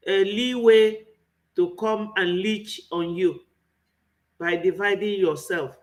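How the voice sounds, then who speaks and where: Nigerian man, high bright voice, impassioned preaching tone, political commentary. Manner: impassioned, preaching, full of rhetorical questions. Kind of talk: online political commentary monologue.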